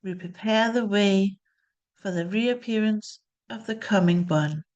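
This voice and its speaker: Danish woman organizer, solemn even reader, lo-fi call sound. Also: medium pitch, unhurried. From Denmark. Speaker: meditation organizer. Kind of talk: reading a meditation text aloud. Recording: dull muffled call audio.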